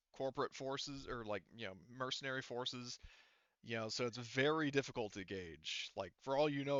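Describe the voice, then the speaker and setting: A man running a tabletop game as game master, narrating casually and haltingly, often circling back to rephrase. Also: medium pitch, fairly bright tone, no room sound, muffled recording.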